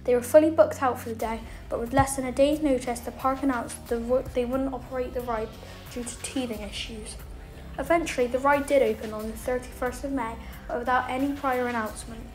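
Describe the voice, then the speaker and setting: A woman's voice: fairly high pitch, steady tone, fairly quick, darkish tone, faint music behind. A woman reading a scripted documentary narration as the narrator of a video. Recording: roomy echo, faint background noise.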